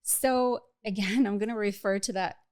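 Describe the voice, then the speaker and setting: A middle-aged woman from Bulgaria, raised abroad, speaking thoughtfully and in a measured way as an interview guest. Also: mid-range voice, steady tone, darkish tone, clean tone, no echo, clean studio sound. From Bulgaria, raised in Iraq and Cuba. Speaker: podcast guest, agency chief executive. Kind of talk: reflective interview conversation.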